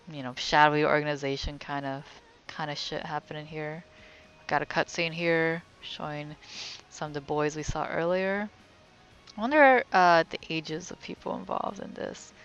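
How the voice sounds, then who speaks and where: A woman's voice: low-pitched, a bit bright, smooth, dull audio. A woman giving casual, wry, musing commentary as she plays through a game. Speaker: gaming video host.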